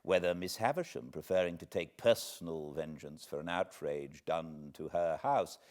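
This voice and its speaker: A British man reading classic literature aloud as a narrator, in a measured, dramatic manner with deliberate pauses. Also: low voice, a little mellow. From Britain. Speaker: audiobook narrator, host of fireside readings. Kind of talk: expressive literary storybook reading.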